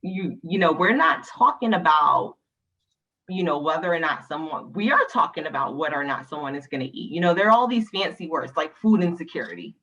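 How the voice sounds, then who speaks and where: Emphatic woman with a low, bright voice. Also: lively intonation, a little fast, breathy edge, highs cut off. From Barbados. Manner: emphatic, passionate, often ends points with a tag question. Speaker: panelist, nonprofit leader. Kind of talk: panel discussion on a video call.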